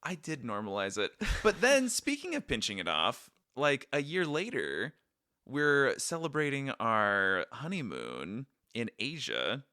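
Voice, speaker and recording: A man's voice: medium pitch, unhurried, clean tone, no room sound, studio quality. A man hosting a travel podcast in casual, joking chat with his wife. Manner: playful, jokey, lively. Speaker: podcast host.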